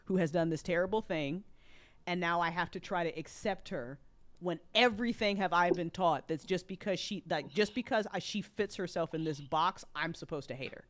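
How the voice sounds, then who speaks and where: A woman's voice: on the low side, normal speed. A woman in a casual, opinionated fan discussion, talking bluntly and emphatically in slangy, loose phrases. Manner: emphatic, blunt, sweary, punctuated with "like".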